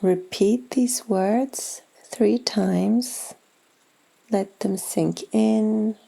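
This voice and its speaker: A woman guides a meditation with slow, soothing instructions. Her voice is mellow and relaxed, sitting at a medium pitch with heavy vocal fry. She speaks softly and leaves generous pauses between short phrases. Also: poor audio. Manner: soothing, gentle, unhurried instruction with long pauses. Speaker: yoga nidra guide.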